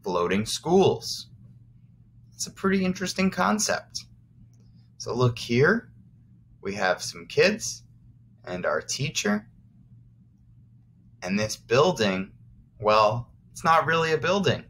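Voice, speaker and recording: A man's voice: medium pitch, sing-song, takes it slowly, long pauses, a bit bright, slight background noise. A man teaching first graders, reading aloud expressively and encouragingly, with simple explanatory asides for young children.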